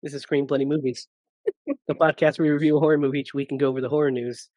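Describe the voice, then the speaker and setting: A man in his thirties hosting a casual podcast, hoarse from a cold and joking around with his co-hosts. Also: medium pitch, flat delivery, very fast, a little mellow, no room sound. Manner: joking, laughs often. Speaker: podcast host.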